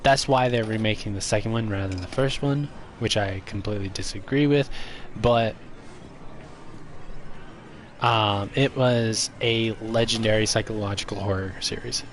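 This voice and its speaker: A man's voice: lowish voice, moderate pace, slight background noise. A man streaming a video game, chatting casually and giving his opinions, with a stuffy, congested voice. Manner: relaxed, opinionated, chatty with viewers. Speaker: gaming streamer.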